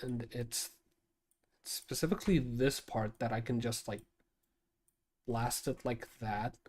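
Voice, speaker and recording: A man's voice: medium pitch, fairly even intonation, slow pace, darkish tone. Nasal man thinking aloud on stream. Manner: rambling, thinks aloud with many restarts. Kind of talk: casual livestream talk while editing video.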